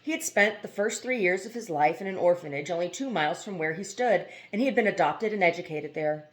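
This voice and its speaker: A woman's voice: on the low side, expressive, fairly quick. A woman reading a novel aloud as scripted narration, now and then stumbling over a word. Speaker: amateur audiobook reader.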